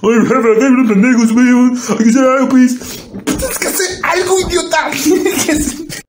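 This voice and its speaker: Woman as a high, frantic anime youngster. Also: measured pace, echoey room, noisy background. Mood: exasperated. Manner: exasperated, exclaiming, crude. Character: frantic foul-mouthed young anime parody character. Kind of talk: amateur comic anime dub.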